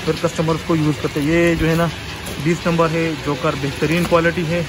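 A man's voice: fairly high pitch, little pitch movement, brisk, noisy background. A man with a Pakistani Urdu accent, filming on a phone as he walks through a workshop and explains each step in a chatty vlog. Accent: Urdu-speaking Pakistani. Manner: chatty, repeats "you can see" while pointing. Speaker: vlogger showing a factory. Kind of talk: casual walkthrough vlog. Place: indoor workshop with machines. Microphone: handheld phone camera.

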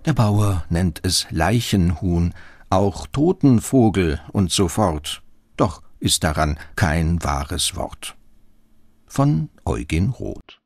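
A man reciting rhymed poetry in a measured way. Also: sits low, expressive, a little mellow, no room sound, clean studio sound.

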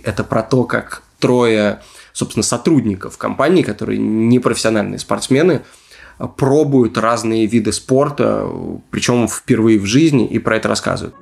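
Podcast guy telling stories casually, gravelly creaky voice, wry little asides. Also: medium pitch, a little mellow. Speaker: podcast host. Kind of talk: casual storytelling podcast talk.

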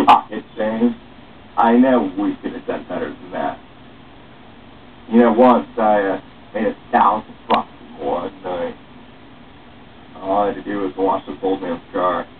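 A man's voice: low-pitched, steady tone, measured pace, pauses often. A man reading the part of a brash, boastful veteran panhandler in a story, with a casual coaxing manner. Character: brash, cocky male veteran panhandler, streetwise slacker. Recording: muffled phone-like webcam, distant mic, faint hiss.

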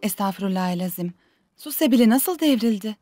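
A woman voicing a gentle, patient young mother in a dubbed children's cartoon, affectionate and coaxing. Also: mid-range voice, lively intonation, moderate pace, faint music behind, close to an everyday voice. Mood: mildly chiding.

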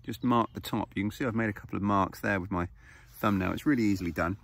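Gravelly man vlogging on a hillside. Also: on the low side, fast talker, fairly bright tone. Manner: casual, explanatory, easygoing with fillers. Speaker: foraging channel host. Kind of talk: outdoor how-to vlog. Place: grassy hillside outdoors. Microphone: phone camera held close.

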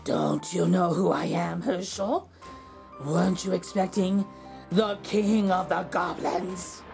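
A woman voicing a menacing, powerful goblin king in a storybook, slow, ominous and mockingly friendly. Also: lowish voice, fairly even intonation, gravelly, a little mellow, faint music behind. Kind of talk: children's storybook reading.

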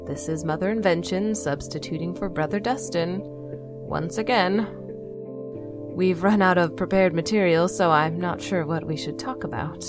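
Woman as android nun, exasperated, wry, articulate, slightly low voice, audio drama monologue. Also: moderate pace, over music, lightly acted. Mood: dry, exasperated. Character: weary, exasperated female android nun broadcaster.

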